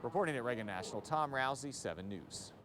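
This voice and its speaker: A man's voice: mid-range voice, expressive, quick pace, fairly bright tone, slight background noise. An American man reporting for TV news as a field reporter, narrating the story over background ambience.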